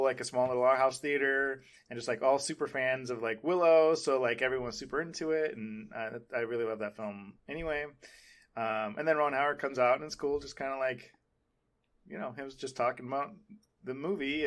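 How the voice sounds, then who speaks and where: A man's voice: medium pitch, fairly bright tone. A man hosting a podcast, chatting casually about film and TV in a rambling, hesitant way. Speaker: podcast host.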